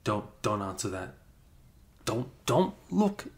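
Close-mic ASMR roleplay: a low-voiced man, unhurried and hesitant, drops into whispers. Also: big pitch swings. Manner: soft-spoken, drifting into whispers, hesitant. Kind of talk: improvised ASMR roleplay as a best friend. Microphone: close microphone.

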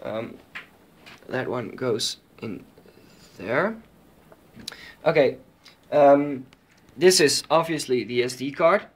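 A man's voice: medium pitch, slow pace, pauses often, slightly rough. A man giving an informal, hesitant walkthrough of a hobby electronics project, speaking from behind a handheld camera. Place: indoors at a desk with electronics.

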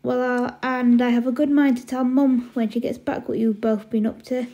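Woman as a bossy, exasperated big sister, mellow-voiced. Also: on the high side, little pitch movement, moderate pace, lo-fi sound, close to an everyday voice. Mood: stern, weary. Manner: scolding, stern, exasperated.

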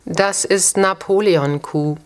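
A woman's voice: fairly low pitch, slow pace. A woman delivering a short recorded line, captured in a voice recording booth.

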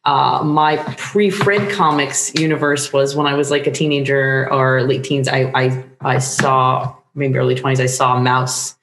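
A woman's voice: rumbling low, steady tone, a little slow, few pauses, a bit bright. Middle-aged woman in a roomy space. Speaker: guest playwright. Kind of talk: casual interview talk. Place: book-lined room at home, indoors. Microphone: shared desktop microphone on video call. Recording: roomy home recording.

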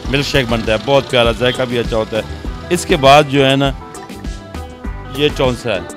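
A Pakistani man in his thirties talks in a mid-range voice, informally and haltingly, repeating himself as he goes. He holds a microphone in a shop, and music is clearly audible behind him. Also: medium pitch, steady tone. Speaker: fruit seller. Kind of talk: informal on-camera interview reply. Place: fruit shop. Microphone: handheld microphone.

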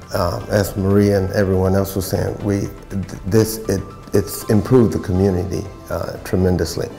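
An older man, a building contractor, talking plainly and haltingly about a renovation, on a lapel mic. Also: low voice, creaky, mellow. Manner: plain-spoken, halting, many fillers. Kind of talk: documentary interview. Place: renovated house, indoors.

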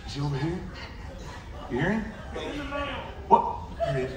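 A brash man works a comedy hypnosis crowd, lulling volunteers with slow countdowns between jokes. His voice is a touch high and booms from far off through a theater PA. A loud audience and noise fill the room around him. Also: animated, roomy sound. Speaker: stage hypnotist. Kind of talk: comedy hypnosis show patter. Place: large theater stage. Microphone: handheld mic through PA, filmed from audience.

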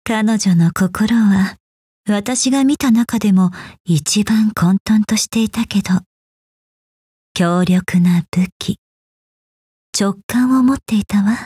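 Breathy, enigmatic seeress. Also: female voice, mid-range voice, dry recording, clean studio sound. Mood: intrigued. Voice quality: soft, breathy. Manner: slow, poised, mysterious. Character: serene, enigmatic fortune-telling woman, elegant keeper of memories. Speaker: video game character voice. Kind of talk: scripted game voice lines.

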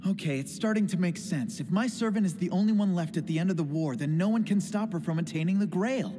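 A man's voice: sits high, quick pace, in a large hall, lightly acted. Man voicing an earnest, blunt anime teenage boy. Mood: questioning. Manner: casual, blunt, questioning. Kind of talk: English anime dub acting.

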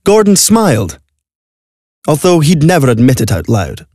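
A man's voice: on the high side, huge pitch swings, moderate pace, darkish tone, dry recording. A man narrating a scripted story in a dramatic storytelling style, recorded in a studio.

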